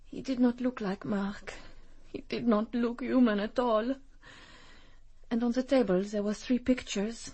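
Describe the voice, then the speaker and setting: A woman playing a sensitive, fragile rich young woman in a British radio drama, emotional and breathy. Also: high voice, darkish tone. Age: young adult.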